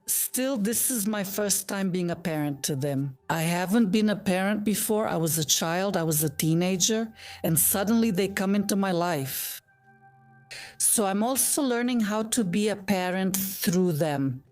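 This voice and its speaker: A woman's voice: medium pitch, unhurried, darkish tone, soft background music. A woman in her fifties from Bulgaria, a guest telling her life story in an earnest, heartfelt, reflective way. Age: 50s. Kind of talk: personal storytelling in an interview.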